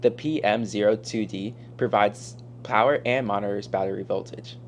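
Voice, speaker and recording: A man's voice: on the low side, steady tone, moderate pace. A teenage boy from Miami, Florida, reading a scripted technical presentation as a student. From Miami, Florida, United States. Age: teens. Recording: faint hum and noise.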